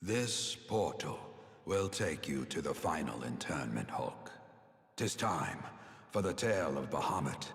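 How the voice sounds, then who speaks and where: An actor playing a grave, weary elder sage in a fantasy game, speaking in a stately, deliberate, theatrical way. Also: male voice, very deep voice, sing-song, full character voice. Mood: weary, resolute. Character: grave, weary elder male sage recounting his downfall. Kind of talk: solemn fantasy game cutscene acting. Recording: long cavernous reverb.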